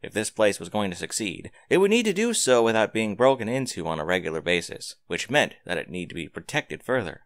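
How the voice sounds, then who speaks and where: A man's voice: fairly low pitch, big pitch swings, fairly quick, few pauses, dry recording. A man reading scripted fiction aloud as a dramatic narrator, close to the microphone.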